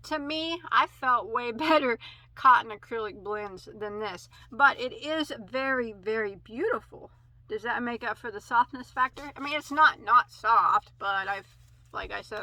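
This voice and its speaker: Bright-voiced Midwestern woman chatting about yarn. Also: fairly high pitch, dry recording, low-quality recording. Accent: American Midwest. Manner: chatty, rambling, many fillers and self-corrections. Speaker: crochet channel host. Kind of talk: casual yarn haul review.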